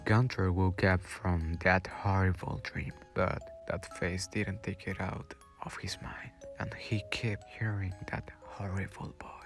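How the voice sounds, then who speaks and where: A man's voice: low-pitched, level tone, a little slow, hardly any pauses, in a whisper, over music. A man giving dramatic scripted narration for a radio drama.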